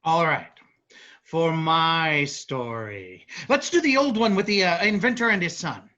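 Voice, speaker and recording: A woman's voice: sits low, animated, unhurried, fairly bright tone, lo-fi sound. A woman playing an eager, inventive traveling actor in a staged reading, enthusiastic with some hesitant phrasing.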